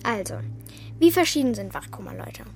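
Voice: mid-range voice, big pitch swings, moderate pace, faint music behind, close to an everyday voice. A performer voicing a young girl in a children's radio play, direct, inquisitive and decisive in how she talks. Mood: playful, insistent.

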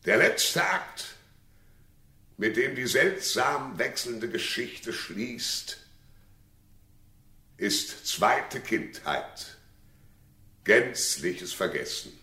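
A man's voice: medium pitch, animated, drawn-out words, long pauses, bright, forceful tone, exaggerated voice. An actor playing a pompous theatre man who declaims grandly from an echoing stage, in a radio drama. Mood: grand, declamatory.

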